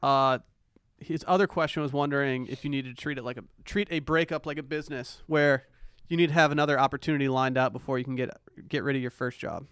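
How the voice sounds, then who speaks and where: A man's voice: mid-range voice, steady tone, fast talker, hardly any pauses, a bit bright, clean tone, no echo, clean studio sound. A young American man chatting casually as a podcast co-host. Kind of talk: casual chat.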